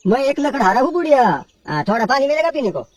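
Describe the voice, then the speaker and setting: Sly male woodcutter, acted by a narrator, storybook trickster: high, coaxing, boastful voice, a little off-mic. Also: sing-song, fast talker, far from the mic. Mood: probing, coaxing. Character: sly male woodcutter posing as a child-eating sorcerer. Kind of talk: dramatised storybook horror tale for children.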